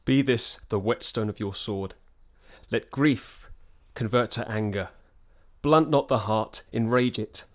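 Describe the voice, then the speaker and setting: Man playing a brooding prince in a shakespeare radio play, lowish voice, measured and oratorical. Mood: rousing. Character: Malcolm, brooding exiled prince rallying men to revenge. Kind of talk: classical Shakespearean radio drama acting.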